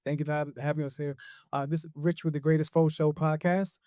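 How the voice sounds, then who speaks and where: A man's voice: on the high side, level tone, fairly quick, dark tone, no echo, clean studio sound. A man from a podcast asking a wrestler a playful, joking question at a press conference. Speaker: podcast reporter.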